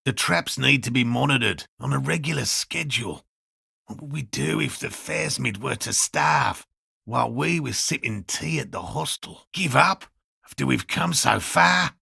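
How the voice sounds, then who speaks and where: An actor playing an earnest, stubbornly hopeful elderly male cryptozoologist in a video game, speaking with a gravelly rasp and a formal, pedantic manner. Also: medium pitch, animated, normal speed, pushed and bright, no echo, studio quality, full character voice. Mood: defensive, determined. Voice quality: gravelly, raspy. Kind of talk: video game character dialogue.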